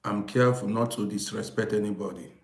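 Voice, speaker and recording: A man's voice: lowish voice, fairly even intonation, brisk. Emphatic man in his late fifties, echoey room. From Vienna, Austria. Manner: emphatic, repeats phrases insistently. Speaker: live-stream host and storyteller. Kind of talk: informal livestream talk to viewers.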